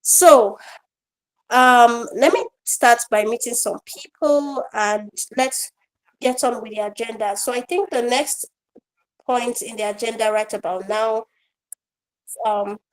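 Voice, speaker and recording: A woman trainer hosting an online feedback session, talking casually with many fillers, her voice a bit croaky today. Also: fairly high pitch, slow pace, poor audio. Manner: warm, rambling, with fillers and laughs. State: slightly croaky voice. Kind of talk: casual online class talk. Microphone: online video call.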